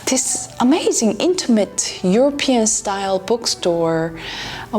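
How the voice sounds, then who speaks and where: A pianist and author with a Korean accent, speaking in an earnest, soft-spoken vlog, some phrases drifting into a whisper. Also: female voice, mid-range voice. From South Korea. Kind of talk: reflective vlog talk to camera.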